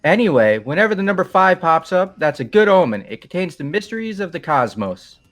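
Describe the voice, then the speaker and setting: A man's voice: sits high, sing-song, a little fast, fairly bright tone, faint music behind. A man voicing an earnest, eccentric teenage schoolboy with dramatic delivery in a fan-voiced game. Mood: earnest enthusiasm.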